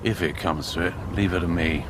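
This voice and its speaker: A hard, commanding British major played by a man in a wartime radio play. His low voice is clipped and authoritative. Loud outdoor ambience sits behind him. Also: a little fast, background noise, lightly acted. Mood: cold, ruthless. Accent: British English. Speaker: actor in a radio drama. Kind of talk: BBC radio drama acting.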